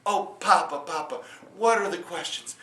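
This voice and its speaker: A man voicing a clever young peasant girl who gently chides her father, recorded in a roomy space from a distance. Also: high-pitched, normal speed. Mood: fond. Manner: lightly chiding, reassuring. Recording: roomy echo, distant mic.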